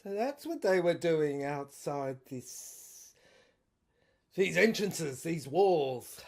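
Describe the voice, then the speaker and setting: A woman performing a dramatic audio screenplay reading with a halting, emphatic delivery. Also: deep voice, lively intonation, darkish tone.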